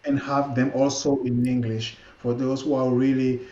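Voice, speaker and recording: A man whose first language is French, with a French accent, speaking as a podcast guest and coach in a casual interview, often halting and repeating words as he searches. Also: mid-range voice, level tone, dark tone. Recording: roomy, dull lo-fi sound.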